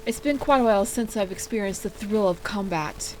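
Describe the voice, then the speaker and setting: A woman voicing a pompous, self-important old male hermit with a gravelly voice in a story reading. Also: mid-range voice, a little fast, a little mellow, a little hiss or hum, close to an everyday voice, low-quality recording. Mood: intrigued, grand. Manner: pompous, grandiose.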